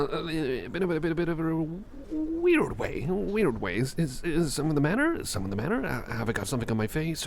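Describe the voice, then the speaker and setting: Man acting a jittery, creaky-voiced, over-caffeinated anxious friend. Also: mid-range voice. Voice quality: often drops into breathy whispers. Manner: rambling, repeats phrases, stammering, nervous giggles. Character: jittery, over-caffeinated, sleep-deprived, goofy and anxious male friend in a library. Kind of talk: ASMR roleplay.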